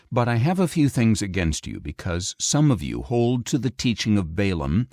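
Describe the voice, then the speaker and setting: A man reading scripture as an audiobook narrator, in a solemn, measured, declamatory way. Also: sits low, a little mellow, dry recording, studio quality. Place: dry recording studio.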